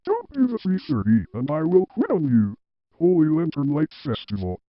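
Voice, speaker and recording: A man's voice: a little high, wildly swooping pitch, normal speed, dry recording. A man playing a stubborn, argumentative office employee in an amateur drama, emphatic and repetitive. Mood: defiant. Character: defiant, stubborn male office employee who argues back. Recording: dull, dry lo-fi audio.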